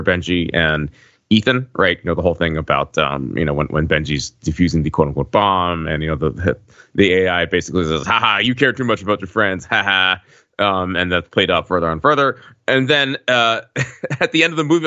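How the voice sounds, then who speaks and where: A man's voice: low voice, pushed and bright, no echo. A man co-hosting a podcast in casual film discussion, conversational and often checking that the listener follows, on a slightly muffled microphone. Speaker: podcast co-host.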